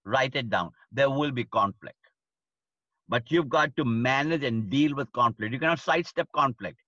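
A man teaching leadership over a livestream, hammering his points home by saying them again and again. His voice is bright and forceful. The sound is dry and slightly dull. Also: medium pitch, moderate pace, no echo. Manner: emphatic, repeats key phrases for stress. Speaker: leadership speaker.

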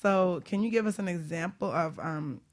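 A woman hosting a talk show and chatting casually with a guest in an informal, expressive, slangy way. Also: lowish voice, normal speed. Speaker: talk show host and business coach. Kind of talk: casual interview chat.

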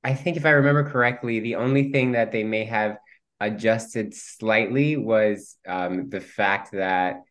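A man's voice: lowish voice, measured pace, fairly bright tone. A man whose first language is Spanish, chatting casually as a guest actor, playful with many ums and easy laughs. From Cuban heritage. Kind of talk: casual interview chat.

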